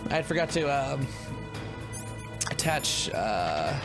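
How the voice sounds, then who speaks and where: A man giving casual, offhand live commentary as a game streamer, halting as he goes. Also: mid-range voice, big pitch swings. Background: game music.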